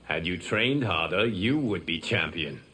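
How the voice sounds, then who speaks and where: An actor playing a stern, wise lord and mentor with calm authority in video game dialogue. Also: male voice, sits low, a little slow, slightly rough, full character voice. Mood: stern, solemn. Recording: faint background noise.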